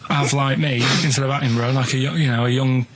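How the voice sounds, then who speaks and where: A man's voice: medium pitch, fairly even intonation, fast, background noise. A man co-hosting a radio show, chatting casually in a rambling, earnest, matter-of-fact way.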